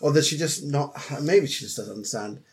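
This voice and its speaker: A man's voice: on the high side, a little fast. A young Scottish man co-hosting a casual podcast, blunt and jokey, often laughing. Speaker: podcast co-host.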